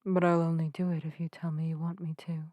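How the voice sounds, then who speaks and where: A woman playing a gentle, earnest witch healer in an ASMR audio roleplay, soft-spoken and soothing with old-fashioned, formal phrasing. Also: low-pitched, level tone, fast talker, dry recording, close to an everyday voice. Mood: gentle, sincere. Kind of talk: narrative ASMR roleplay addressed to the listener. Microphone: close microphone in a dry space.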